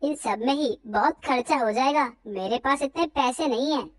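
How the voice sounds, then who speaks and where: A humble young husband from a cartoon moral tale, played by a woman, talking softly and apologetically. The voice stays fairly high despite being pushed down, and the recording is dry and close. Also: moderate pace, no room sound. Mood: apologetic. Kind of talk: cartoon story dialogue.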